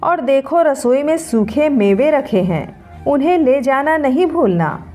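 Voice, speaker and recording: A woman's voice: sits high, lively intonation, unhurried, mellow, faint music behind. A woman voicing a devout, doting middle-aged mother-in-law in an earnest, homely, coaxing way for a story.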